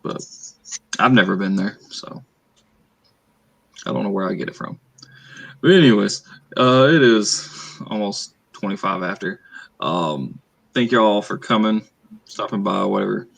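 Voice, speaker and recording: A man from Arkansas with a soft Southern accent, talking in a low voice with a gravelly fry. He rambles easily through channel plugs with lots of fillers, like a casual livestream over a home mic. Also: measured pace, pauses often. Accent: Southern US, northeast Arkansas. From Arkansas, USA. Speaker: author and channel host.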